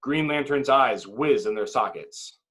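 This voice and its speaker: A man's voice: medium pitch, unhurried, a bit bright, breathy edge. A man reading stage directions as narrator at a table read, in dramatic, clipped phrases.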